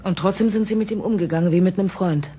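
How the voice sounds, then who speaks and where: A woman's voice: medium pitch, quick pace, mellow, breathy edge, lightly acted. A woman acting a composed, direct police detective in a crime radio play, asking pointed questions. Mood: firm.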